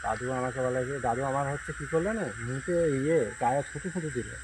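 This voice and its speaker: A man playing an elder friend telling a ghost story conversationally, pausing and checking that his listeners follow. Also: mid-range voice, natural voice. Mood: reassuring, eerie. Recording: noisy night recording with chirping insects.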